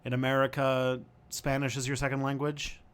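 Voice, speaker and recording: A young man from Canada chats through viewer questions on a livestream, pausing and restarting as he thinks out loud. His voice sits at an ordinary middle pitch. He sounds casual and unscripted. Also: completely flat delivery, smooth. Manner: thinking aloud, hesitant with many ums and restarts.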